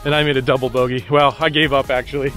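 A man's voice: mid-range voice, level tone, fast talker, fairly bright tone, soft background music. A man filming a golf vlog outdoors, chatting enthusiastically about the holes and shots. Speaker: golf vlogger. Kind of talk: casual on-course commentary.

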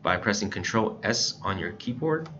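An American man giving a casual, informal software tutorial, explaining things step by step as an instructor. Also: on the low side, animated, normal speed. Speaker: instructor, scanner shop staff. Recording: muffled lo-fi voice-over, faint background noise.

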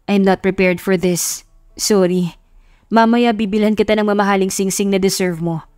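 A woman's voice: mid-range voice, fairly even intonation, moderate pace, darkish tone, no room sound, studio quality, soft background music, close to an everyday voice. A woman voicing an earnest, lovestruck young man making intense, sincere declarations in an audio love story.

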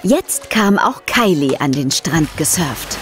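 A woman's voice: sits low, lively intonation, slow. A woman narrating a children's story in scripted audiobook style.

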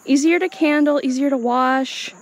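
A woman's voice: high-pitched, fairly even intonation, moderate pace. A woman casually narrating her farm chores and thinking aloud as she goes, in an unscripted vlog style. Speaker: small farm owner and vlogger. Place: outdoors on a farm. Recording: outdoor noise, insects and animal sounds.

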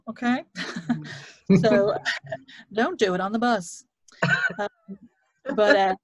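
A British woman teaching vocal exercises as a voice coach on a video call, demonstrating lively from a home studio mic. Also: mid-range voice, no room sound.

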